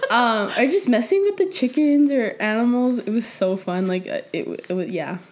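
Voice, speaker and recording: A woman's voice: fairly high pitch, expressive, normal speed, darkish tone. A young woman whose first language is Spanish, a podcast guest telling stories in a chatty way with plenty of laughs and fillers. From Mexico. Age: young adult. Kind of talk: casual chat.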